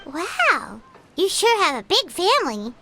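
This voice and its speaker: Tiny childlike fairy sidekick, squeaky, excitable, hugely swooping intonation, video game, music behind. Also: female voice, measured pace, full character voice. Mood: curious, teasing. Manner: exclamatory, cheeky, refers to herself by name. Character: tiny excitable childlike floating fairy companion, female, cheeky.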